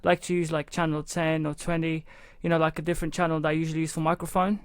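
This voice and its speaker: Chatty male beat-maker, high voice, dry sound. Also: little pitch movement, fast talker, clean tone, no echo. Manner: rambling, loose, full of "you know" and "like". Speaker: music production tutorial host. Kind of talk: casual step-by-step software tutorial. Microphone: studio microphone into a recording channel.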